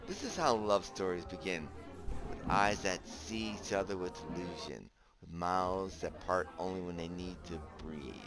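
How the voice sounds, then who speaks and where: Romance game male lead: young, low-pitched, earnest, teasing, lovestruck, music bed. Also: moderate pace, rough recording. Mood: tender, awed. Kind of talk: visual novel voice acting with narration. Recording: background music under the voice.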